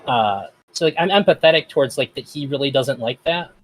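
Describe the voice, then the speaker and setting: A man's voice: mid-range voice, a little fast, fairly bright tone, slight background noise. Host, a man with a voice that often reads as feminine, talking hesitantly in a middle register. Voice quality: voice that strangers hear as feminine. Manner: hesitant, with many fillers. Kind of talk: casual discussion.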